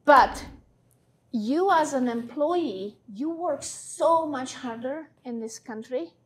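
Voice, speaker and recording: A woman with a Hungarian accent and a distinctly high voice talks in an interview. She is middle-aged and emphatic, stressing her opinions by repeating them. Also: animated, slow, rough recording. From Hungary, born in Romania. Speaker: interview guest, small business owner. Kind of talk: conversational interview answers. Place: classroom, indoors. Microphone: lapel mic.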